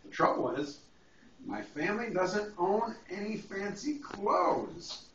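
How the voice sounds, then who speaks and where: A man reads a children's storybook in an unhurried, playful way, with a lively swing in pitch. He sounds away from the microphone in an echoey room. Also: distant mic. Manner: playful, drawing out words for a small child. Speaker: priest reading a picture book to a child. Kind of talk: animated storybook reading with playful asides. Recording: echoey, noisy, muffled home video from afar.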